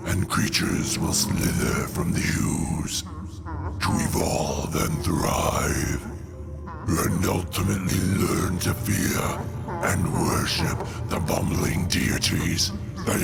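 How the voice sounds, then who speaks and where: An actor playing a vengeful, cold alien god-killer villain in a comic-book audio drama, with gravelly, grandiose, theatrical delivery. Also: male voice, low-pitched, heavily acted. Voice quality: gravelly, creaky. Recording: loud music under the voice.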